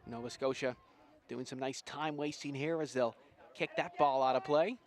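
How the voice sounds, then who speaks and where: A man doing live, excitable play-by-play commentary on a rugby sevens match. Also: medium pitch, normal speed. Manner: excitable, builds to shouts at big plays.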